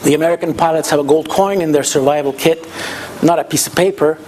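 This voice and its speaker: A man's voice: fairly high pitch, fast talker, darkish tone, soft background music. A man from Hungary gives an informal keynote talk, telling stories conversationally and posing rhetorical questions. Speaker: keynote speaker. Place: symposium venue, indoors. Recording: noisy live room recording, background chatter.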